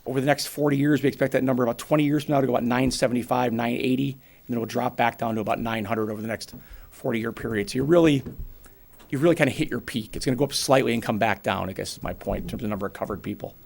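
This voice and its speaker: A man in his forties with a New England accent, giving a brisk, plainspoken financial presentation to a town board and explaining with everyday examples. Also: medium pitch, creaky, a little mellow. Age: 40s. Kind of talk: financial presentation at a public meeting.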